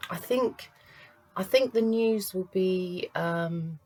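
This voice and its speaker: A woman working as a psychic medium, giving a card reading to camera in a halting, searching way. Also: fairly low pitch, darkish tone. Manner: halting, searching, pauses to sense impressions.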